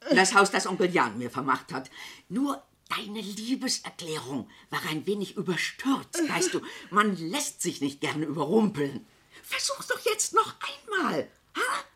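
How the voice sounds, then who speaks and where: An actress playing a bitter, defiant niece in a German radio play, speaking with pointed, theatrical sarcasm. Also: female voice, medium pitch, wildly swooping pitch, unhurried, fairly bright tone, slightly rough, exaggerated voice. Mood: bitter, hysterical. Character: bitter, defiant niece, a former teacher turning on her relatives.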